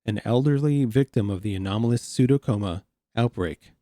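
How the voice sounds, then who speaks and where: A man's voice: mid-range voice, a little fast. A man hosting a reaction video, reading text aloud and adding informal, incredulous asides. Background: music.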